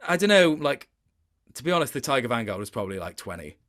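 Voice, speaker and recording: A man in relaxed conversation as an interview guest. He feels his way through his thoughts with hesitations, in a mid-pitched voice, and plays himself down a little. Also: fast talker. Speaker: interview guest, video game voice actor. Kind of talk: casual chat.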